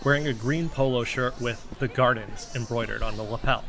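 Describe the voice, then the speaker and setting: A man playing an earnest amateur investigator, narrating a podcast drama in a measured storytelling manner. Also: mid-range voice, creaky, a bit bright. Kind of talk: scripted first-person narration.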